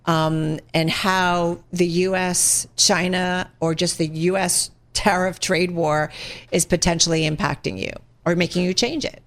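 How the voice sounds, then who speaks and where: A woman's voice: lowish voice, flat delivery, a little slow. A woman hosting a broadcast business interview, putting questions in a conversational way and rephrasing as she goes.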